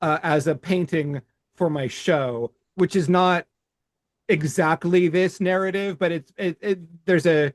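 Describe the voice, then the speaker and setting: A man co-hosting a podcast, reading his own rhyming poem in a measured cadence and then chatting with frequent restarts. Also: fairly high pitch, dry recording. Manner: slow, deliberate, with halting restarts in chat. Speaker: podcast co-host.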